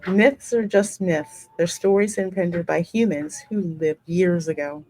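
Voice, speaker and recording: Slightly low-voiced woman hosting a game playthrough. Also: a little slow, mellow, rough recording. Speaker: gaming channel host. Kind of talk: let's play commentary, reading game text aloud with asides.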